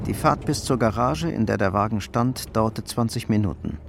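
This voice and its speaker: A man's voice: fairly low pitch, moderate pace, vocal fry, noisy background. A man narrating a crime radio play in a scripted, literary style. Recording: music under the voice. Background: music.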